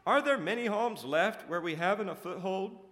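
Man as a quivering timid imp, high. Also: expressive, moderate pace, a bit bright. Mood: fearful, defensive. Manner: tremulous. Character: timid, quivering imp cowering before its master. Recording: echoey hall with long reverb.

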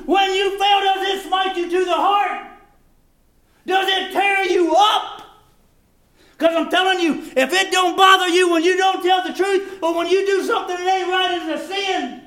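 A man preaching a fervent, folksy evangelical sermon, swinging between shouts and hushed appeals. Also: extremely high pitch. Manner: folksy, plain-spoken grammar with rhetorical questions.

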